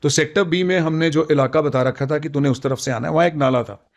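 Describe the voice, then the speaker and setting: A man's voice: a little high, a little fast. A middle-aged man with an Indian accent tells army stories conversationally as a retired officer, often switching between two languages mid-sentence. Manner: code-switches between Hindi and English. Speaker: retired army colonel, interview guest. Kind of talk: conversational storytelling, recounting army memories.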